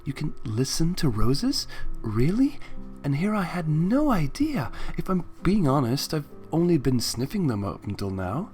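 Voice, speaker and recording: A man acts out a young female pegasus pony in a storybook reading. She is shy, naive and sweet, speaking with timid hesitation and an animated, swinging melody over music. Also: fairly high pitch, a little slow, voice pushed up. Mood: naive wonder.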